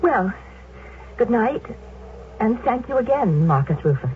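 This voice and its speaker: A woman's voice: a little high, sing-song, measured pace, slight background noise. A proud blind young woman. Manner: proud, firm, defiant. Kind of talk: 1970s radio drama acting. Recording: tinny old radio broadcast.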